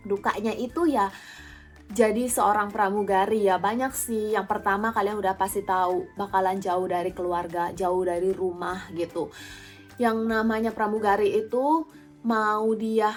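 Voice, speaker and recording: A young woman with an Indonesian accent speaks in a medium-pitched voice. Her delivery is informal and conversational, like a vlogger chatting directly with followers. Music plays behind her voice. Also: level tone, normal speed. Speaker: vlogger, former or current flight attendant. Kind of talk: casual chatty Q&A to viewers. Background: background music.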